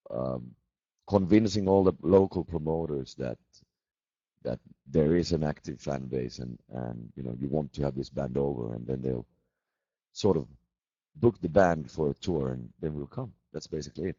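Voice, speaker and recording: Very deep, husky man. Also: no echo. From Finland. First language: Swedish. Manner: calm, measured, dry humor. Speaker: band member answering fan questions. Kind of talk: casual video chat with fans. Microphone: laptop video call mic. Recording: dull lo-fi video call audio.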